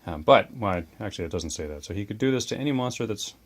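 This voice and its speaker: A man's voice: fairly low pitch, expressive. A man hosting a tabletop wargame show, chatting casually and enthusiastically about models, dice and rules. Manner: chatty, enthusiastic, quick asides. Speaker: host of a tabletop wargame channel.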